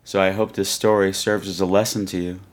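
A man hosting a podcast outro in a theatrical, rambling, excitable way. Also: low voice, fairly quick, very noisy. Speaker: podcast host.

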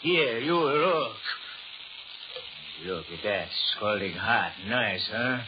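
An actor playing a brutal, sadistic American safecracker with slangy, taunting tough talk in a 1930s radio crime drama. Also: male voice, fairly low pitch, big pitch swings, slow pace. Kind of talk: 1930s radio gangster acting. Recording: muffled, noisy 1930s radio recording.

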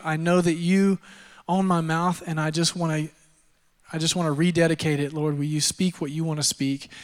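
A man in his thirties from Texas, preaching a sermon and telling his own story in a relaxed, self-deprecating way with lots of fillers. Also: on the high side. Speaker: pastor. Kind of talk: sermon with personal testimony. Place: church auditorium. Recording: long hall reverb.

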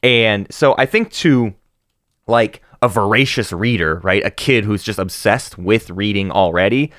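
A man co-hosting a book podcast, talking casually with lots of fillers and restarts, animated and quick to laugh. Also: on the low side, no room sound, studio quality. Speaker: podcast co-host.

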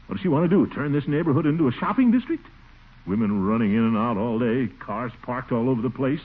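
An actor playing a pompous, easily huffy middle-aged uncle in a blustery manner, in 1940s radio comedy style. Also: male voice, medium pitch, expressive, quick pace, breathy edge. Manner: blustery, pompous, chuckling and sighing. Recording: old tinny 1940s radio broadcast, hissy.

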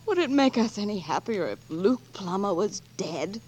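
An American woman acting a devoted, pleading lover of an outlaw in a melodramatic, halting 1940s radio performance. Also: mid-range voice, sing-song, darkish tone, breathy edge. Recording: old 1940s radio broadcast, faint music.

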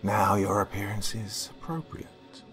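A man's voice: lowish voice, animated, measured pace, fairly bright tone, soft background music. A wicked carnival artist who mocks and scolds with theatrical relish, put on by a man. His voice rises and falls widely as he toys with his victim. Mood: mocking. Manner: mocking, stern, theatrical.

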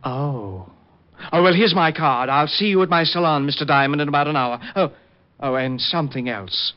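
Actor as a snippy, theatrical hat designer, fairly high voice, dull old radio sound. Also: male voice, moderate pace, lightly acted. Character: fussy, catty male hat designer. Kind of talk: 1950s radio acting.

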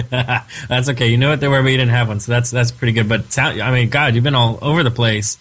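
A man's voice: mid-range voice, fairly even intonation, brisk, a bit bright. A man hosting a radio interview show, talking in a friendly, rambling way with restarts.

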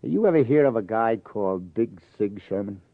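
An actor playing a hard-boiled New York police lieutenant in a radio crime drama, clipped and wry. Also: male voice, fairly low pitch, lively intonation, normal speed, no room sound. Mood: pressing. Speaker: lead actor, police detective. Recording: tinny vintage radio broadcast.

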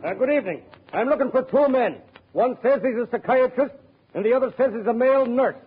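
An actor playing an excitable official out to haul away lunatics in a 1940s radio comedy. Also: male voice, very high-pitched, brisk. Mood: officious. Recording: hissy old phone-like radio.

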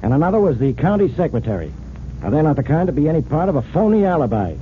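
Exasperated police detective, vintage radio serial: slightly high, swooping, blustery, thin narrow-band sound. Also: male voice, big pitch swings, quick pace, a little hiss or hum. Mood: insistent. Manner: blustering, grumbling, sure of himself. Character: exasperated, stubborn police detective, adult man. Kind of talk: old-time radio drama acting.

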